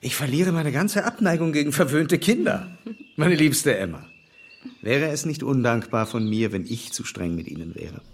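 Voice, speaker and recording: An actor playing a wry, affectionate English gentleman in a radio play, dry and teasing in a measured way. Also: medium pitch, lively intonation, close to an everyday voice. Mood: wry, tender teasing.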